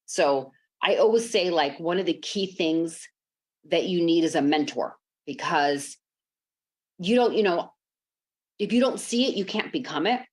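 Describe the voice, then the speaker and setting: A woman's voice: lowish voice, animated, measured pace. A woman from Chicago, a sports executive, telling her life story in a podcast interview over a remote call, earnest and reflective with many ums and uhs. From Chicago, United States.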